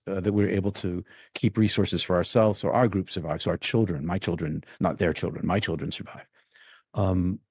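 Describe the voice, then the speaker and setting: A very deep, mellow male voice from the United States, recorded dry and close. He talks as an interview guest in a reflective, rambling way, with frequent ums and restarts as he works through his thoughts. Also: breathy edge, no room sound. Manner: rambling, thinks aloud with many ums and restarts.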